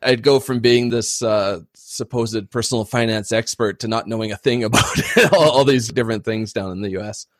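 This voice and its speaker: A man's voice: medium pitch, normal speed, few pauses, no room sound, studio quality. A man from Canada, a finance blogger, talking in an easygoing, self-deprecating way in a casual panel discussion. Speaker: personal finance blogger and podcast guest.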